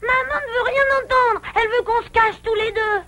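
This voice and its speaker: A woman's voice: very high voice, fairly even intonation, moderate pace, background noise. A woman voicing an eager, curious little boy bear cub in a French cartoon, childlike and earnest. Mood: pleading, upset. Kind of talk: French animated series dubbing.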